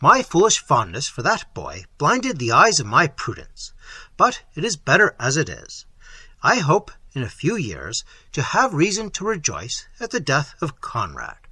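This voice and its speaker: A man voicing a tyrannical middle-aged prince, loud and commanding, with a husky voice. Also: on the high side, huge pitch swings, unhurried, dry recording, exaggerated voice. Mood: cold scheming.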